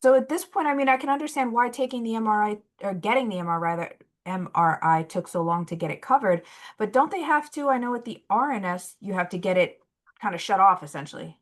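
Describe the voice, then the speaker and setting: A woman's voice: mid-range voice, animated, quick pace. A woman hosting a casual interview, curious and supportive as she asks follow-up questions. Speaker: podcast host.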